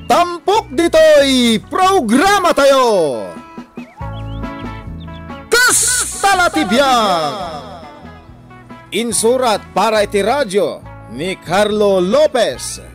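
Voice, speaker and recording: A man's voice: very high voice, sing-song, drawn-out words, long pauses, fairly bright tone, faint music behind. A man with a Filipino accent announcing a radio drama programme in a scripted, dramatic style.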